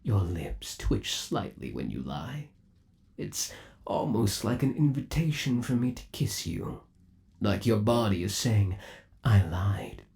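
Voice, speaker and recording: A man acting as an obsessively devoted undead animatronic lover in an ASMR roleplay. His low voice moves slowly and swings widely in pitch. He coaxes theatrically, savoring every endearment with eerie tenderness. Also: very animated, creaky, a little mellow, full character voice. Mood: teasing, possessive. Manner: theatrical, coaxing, lingering on endearments.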